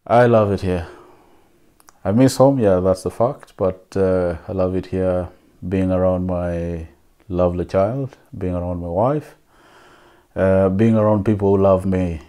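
A man in his thirties with a Ugandan accent, speaking softly and reflectively in an interview from his living room. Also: sits low, steady tone, unhurried. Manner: soft-spoken, reflective, with fillers. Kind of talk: personal interview answers.

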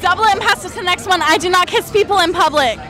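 A young woman answers questions on a busy night street, hesitating and joking. Her voice is very high. Crowd chatter and music play behind her. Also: rapid-fire. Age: young adult. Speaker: interviewee. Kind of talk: casual street interview answers.

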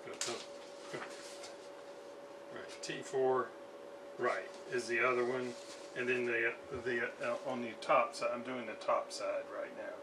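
Male hobbyist demonstrator, unhurried and hesitant, off-mic with some faint background noise. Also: medium pitch, steady tone, distant mic. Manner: halting, hesitant, thinking aloud. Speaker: radio restorer, video host. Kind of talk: casual hands-on demonstration talk. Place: indoor home workshop at a desk.

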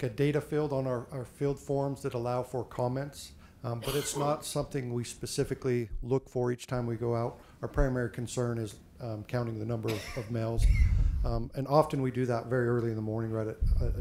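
A man's voice: medium pitch, fairly even intonation, normal speed, few pauses, noisy background. A man, a wildlife biologist, answering a board question in an explanatory way with ums and repeated words. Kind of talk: answering questions at a public meeting.

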